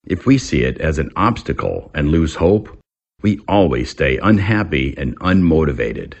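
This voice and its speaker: A man reading an English lesson aloud in a deliberate, instructional way, as a teacher does. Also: rumbling low, very animated, slightly rough, dull audio.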